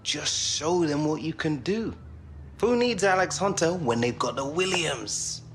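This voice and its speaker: A man's voice: fairly high pitch, lively intonation, unhurried, noisy background. A man with an English accent playing a sincere, encouraging young footballer in naturalistic game dialogue. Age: young adult. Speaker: actor in a football game's story mode.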